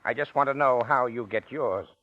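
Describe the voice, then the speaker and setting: A man's voice: medium pitch, moderate pace, natural voice. An actor playing a shrewd, greedy small-town businessman who talks in a blunt, folksy way, in old-time radio style. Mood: shrewd haggling. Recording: muffled old radio recording.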